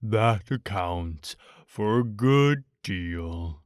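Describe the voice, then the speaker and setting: A man's voice: fairly low pitch, expressive, smooth, no echo, clean studio sound, voice pushed down. A man voicing a gloomy, mournful donkey in a storybook, drawing out his words in a despondent way. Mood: gloomy, resigned. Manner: drawn-out, despondent, sighing delivery. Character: gloomy, slow, mournful male old donkey.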